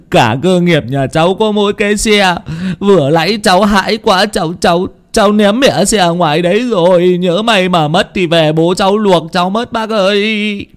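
A man's voice: high voice, mellow, voice pushed up. A whimpering young villager in his twenties played by a male storyteller, terribly afraid of ghosts. His voice swings upward as he stammers and pleads tearfully, in a spoken horror tale.

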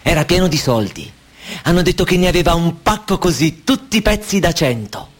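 A husky, breathy voice belongs to a fretful, controlling man who keeps a young woman indoors, in a radio drama. His pitch rises and falls widely as he goes from low murmurs to urgent warnings. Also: lively intonation, moderate pace. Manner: brooding, insistent, swings between hush and urgency. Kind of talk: Italian radio play acting.

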